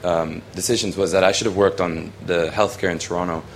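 Young guy from hong kong, really low voice, lots of ums, faint room hum behind. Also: steady tone, brisk. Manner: rambling, many ums and restarts. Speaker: student photographer presenting his work. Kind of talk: casual spoken presentation.

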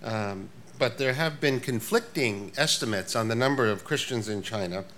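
An older man, a priest and professor, giving a prepared talk in a measured way with dry asides. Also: fairly low pitch, a bit bright, a little hiss or hum. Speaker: guest speaker. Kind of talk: prepared lecture.

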